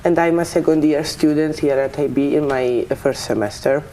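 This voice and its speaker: A woman, a student describing her path with halting restarts. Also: deep voice, mellow, a little hiss or hum, poor audio. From Italy. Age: 20s. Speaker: student panelist. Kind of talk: casual panel talk.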